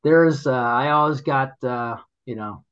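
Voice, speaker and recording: A man telling stories in casual chat, halting and rambling, laughing often as he goes. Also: mid-range voice, a bit bright, clear, resonant tone, highs cut off. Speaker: guest, local business owner.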